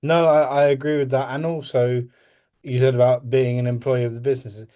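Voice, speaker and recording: A man's voice: medium pitch, level tone, fairly quick, mellow. A man hosting an interview podcast, asking questions and agreeing with his guest in a plain, easygoing way. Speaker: podcast host.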